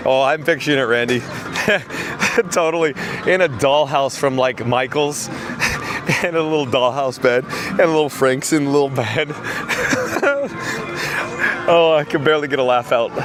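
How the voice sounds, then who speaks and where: Hoarse chatty man vlogging outdoors. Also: medium pitch, a little slow, pauses often, over music. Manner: chatty, reads chat messages aloud. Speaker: live-stream vlogger. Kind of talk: live-stream walking commentary. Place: outdoors at a theme park.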